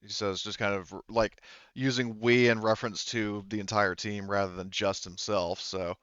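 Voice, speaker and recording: A man speaking as game master, improvising narration for a tabletop roleplaying game in a halting, conversational way. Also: fairly low pitch, little pitch movement, fairly bright tone, dry recording, muffled recording. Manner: halting, many verbal hedges like "you know".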